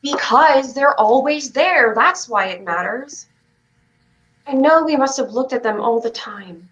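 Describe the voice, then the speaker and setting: A woman's voice: high voice, animated, unhurried, a bit bright. A woman playing an anxious, pleading child in a staged reading of a play. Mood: wistful. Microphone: video call. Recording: muffled livestream call audio.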